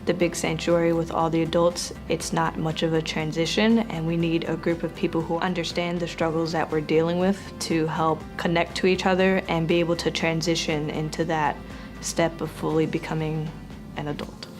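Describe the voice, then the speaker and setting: A woman's voice: sits low, normal speed. An eighteen-year-old woman giving an earnest testimonial about her church group, speaking steadily with the odd "um". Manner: earnest, steady, with filler ums. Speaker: church young adults group member. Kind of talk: testimonial interview. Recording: music bed underneath.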